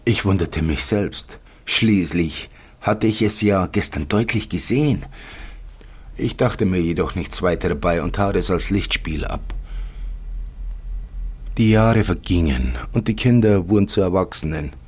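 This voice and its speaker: A male reader acting as a wistful storyteller with a very low, husky voice. He narrates an audiobook-style horror tale in the first person, measured and with deliberate pauses. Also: lively intonation, darkish tone, full character voice. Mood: wistful, calm. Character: wistful male narrator mourning an imagined life.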